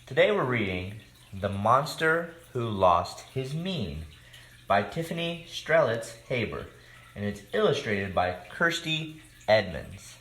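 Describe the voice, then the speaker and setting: Guy doing story time for little kids, takes it slow, expressive, roomy echo. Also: mid-range voice. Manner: expressive, simple addresses to children. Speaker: children's story time reader. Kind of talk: read-aloud storytelling to young children, with casual asides. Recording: echoey room.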